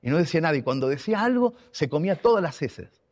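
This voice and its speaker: A man's voice: fairly high pitch, big pitch swings, fast talker, a little mellow. A man from Argentina with an Argentine accent, telling a personal story in an emotional, emphatic way, repeating words for weight. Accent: Argentine Spanish. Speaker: radio contributor and writer.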